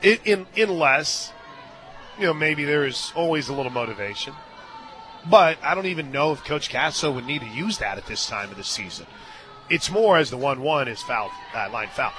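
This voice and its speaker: A man calling softball play-by-play on the radio, chatting casually between pitches and lifting with excitement on big plays. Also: normal speed, low-quality recording. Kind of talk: live softball commentary with casual booth chat. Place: stadium radio booth.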